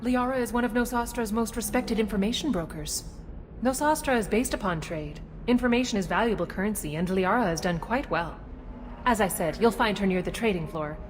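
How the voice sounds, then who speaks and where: A woman voicing a courteous female alien city guide in a video game, speaking with polite, formal and measured official courtesy. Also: medium pitch, natural voice. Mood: courteous, informative. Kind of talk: scripted video game dialogue. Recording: long reverberant hall, some background noise.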